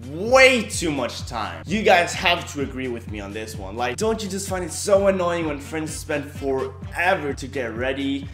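A young man hosting a casual vlog at home, energetic and playful. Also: a little high, normal speed, hardly any pauses, reverberant, music in the background. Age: young adult. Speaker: host. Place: indoor home room, kitchen behind.

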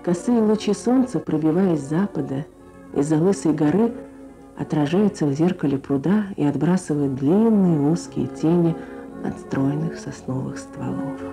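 A woman journalist presenting a scripted Soviet radio programme in a measured, lyrical, formal manner. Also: sits low, animated, music in the background. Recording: archival Soviet radio broadcast.

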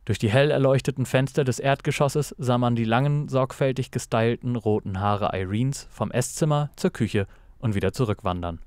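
A man narrating a scripted noir audio drama in a measured, dramatic storytelling manner. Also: mid-range voice, a little mellow, dry recording, clean studio sound.

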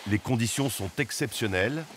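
A man giving scripted, measured narration for a television travel report. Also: mid-range voice, noisy background. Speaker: documentary narrator.

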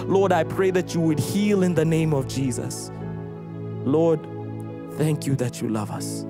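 A young man leading an earnest, fervent prayer as worship leader on a church stage. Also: on the high side. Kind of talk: spoken prayer over music. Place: church stage studio, indoors. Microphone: handheld mic. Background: soft keyboard music.

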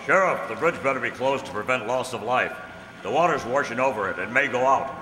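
A man acting a steady, heroic masked cowboy lawman in an old-time radio western, commanding and earnest. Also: medium pitch, a little fast, close to an everyday voice. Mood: firm, urgent. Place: stage in a community hall. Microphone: stand microphone. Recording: echoey hall stage.

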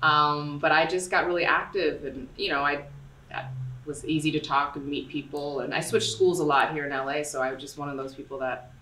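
Low, chatty actress in her forties from LA. Roomy, slightly distant studio sound. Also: a little fast, a little hiss or hum. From Los Angeles, USA. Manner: chatty, animated, jumps into playful voices. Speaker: actress guest. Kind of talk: casual interview chat. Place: dark studio room. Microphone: studio condenser mic on stand.

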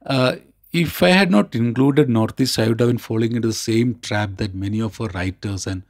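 A man with an Indian accent talks as an expert in conversation. His voice sits at a medium pitch, and he stresses his points, often circling back to rephrase them. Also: normal speed. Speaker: expert guest co-host. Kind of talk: thoughtful conversational explanation.

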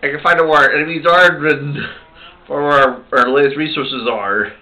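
A man improvising amateur puppet show dialogue as the puppeteer, with a halting, rambling delivery. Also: fairly high pitch, steady tone, pushed and bright, rough recording. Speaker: puppeteer voicing all the characters.

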